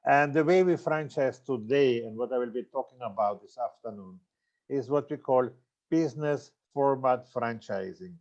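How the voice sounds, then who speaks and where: A man's voice: medium pitch, darkish tone, dull audio. Older Austrian man giving a seminar. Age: 60s. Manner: loose, halting delivery with many fillers and self-corrections. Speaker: franchise consultant giving a seminar. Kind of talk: presentation seminar talk.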